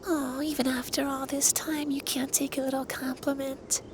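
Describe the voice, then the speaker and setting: Man voicing a high, soft-spoken androgynous dragon lover. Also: little pitch movement. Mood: fond, teasing. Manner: formal, earnest, deliberate phrasing. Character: androgynous, centuries-old male dragon, stern but tenderly caring lover. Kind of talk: ASMR roleplay, intimate scripted monologue addressed to listener. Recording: clear background noise with ambience.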